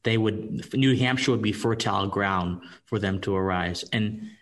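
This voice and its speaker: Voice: sits low, normal speed. A podcast interviewer who backs up the guest with short agreeing replies and brief follow-up questions.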